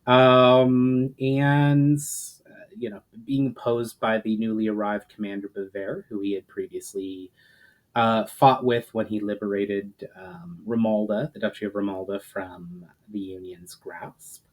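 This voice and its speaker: A man speaking as an author and storyteller, moving between casual, hesitant introduction and measured dramatic narration. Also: fairly low pitch, fairly even intonation, poor audio. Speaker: author reading own book. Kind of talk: casual chat intro, then dramatic audiobook-style narration.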